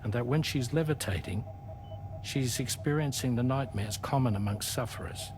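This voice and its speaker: A man playing a thoughtful, erudite medical expert in a game cutscene, precise, measured and slightly wry. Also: medium pitch, noisy background, natural voice. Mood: thoughtful, concerned. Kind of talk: scripted game cutscene dialogue.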